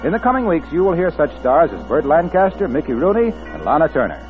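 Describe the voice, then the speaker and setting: A man's voice: fairly high pitch, big pitch swings, quick pace. An American man announcing in polished, emphatic 1940s radio commercial style. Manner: polished, emphatic salesman delivery. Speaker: announcer and sponsor pitchman. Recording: tinny 1940s radio recording, faint music.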